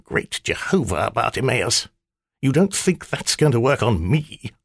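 A man's voice: medium pitch, very animated, measured pace, creaky, slightly rough, no echo, voice pushed up, heavily acted. A man voicing an irritable old male magician, stern and sardonic, in a clipped, scolding manner for an audiobook. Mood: irritated. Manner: clipped, scolding, dryly sarcastic. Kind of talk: audiobook character voice.

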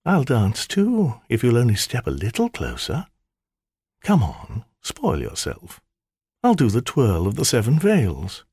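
A man's voice: mid-range voice, wildly swooping pitch, unhurried, warm tone, breathy edge, dry recording, studio quality, heavily acted. A man putting on a coy, simpering djinni-maiden. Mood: coquettish. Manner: coy, wheedling, mock-sweet. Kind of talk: audiobook character voice.

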